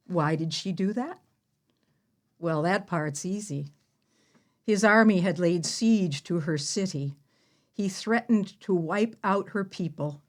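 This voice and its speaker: A woman playing a proud biblical heroine in an audio play, telling her tale in a measured, mocking manner. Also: lowish voice, a little mellow. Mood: taunting, triumphant. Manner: measured, mocking, deliberate storytelling. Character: proud, sardonic biblical heroine who slew an enemy general. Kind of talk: theatrical audio-play monologue.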